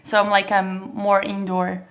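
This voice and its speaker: A young woman from Brazil speaks English with a Brazilian Portuguese accent as a guest in a relaxed interview. She hesitates often, fills gaps with little words and laughs easily. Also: mid-range voice, a little slow. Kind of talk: casual interview chat.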